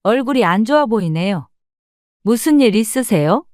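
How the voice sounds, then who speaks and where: A woman voicing a kindly neighbor woman who speaks with warm, expressive sympathy. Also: a little high, normal speed, no room sound, studio quality, lightly acted. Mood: curious.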